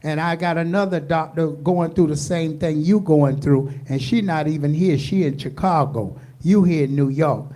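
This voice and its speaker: A woman's voice: deep voice, normal speed, few pauses, warm tone. An American woman hosting a radio talk show, talking emphatically and asking rhetorical questions.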